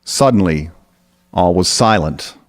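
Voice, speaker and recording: A man's voice: low-pitched, expressive, slow pace. A man narrating a scripted radio drama as storyteller.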